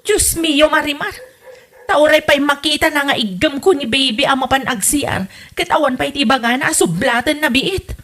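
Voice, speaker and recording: Lady acting fed up young wife, northern philippine accent, high sharp voice, complains big like radio comedy drama. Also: animated, moderate pace. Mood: indignant, melodramatic. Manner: complaining, melodramatic. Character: exasperated young wife fed up with her meddling mother-in-law.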